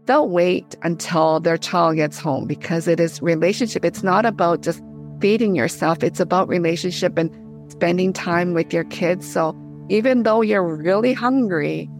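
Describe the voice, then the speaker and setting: A woman's voice: on the low side, moderate pace, soft background music. A middle-aged woman from Korea speaking enthusiastically as a guest in a conversational podcast interview. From born in Korea, immigrated in 1975. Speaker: podcast guest, theologian and teacher.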